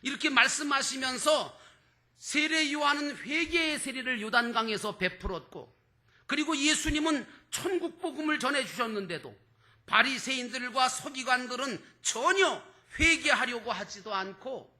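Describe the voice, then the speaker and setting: Male preacher, extremely high and forceful voice, declamatory, rhetorical sermon style. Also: expressive, normal speed. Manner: emphatic, declamatory, stretches key words, rhetorical questions. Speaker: pastor preaching to a congregation.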